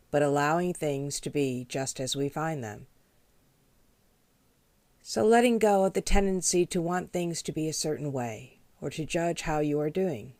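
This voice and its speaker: A woman leading a guided meditation as a teacher, speaking in a gentle, measured, instructional way. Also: low-pitched, dry recording. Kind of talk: guided body scan meditation.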